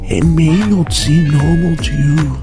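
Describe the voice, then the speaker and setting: A man voicing a kindly old male headmaster wizard who speaks softly and fatherly in an amateur audio drama. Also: a little high, fairly even intonation, music in the background. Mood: gentle. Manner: soft, slow and fatherly.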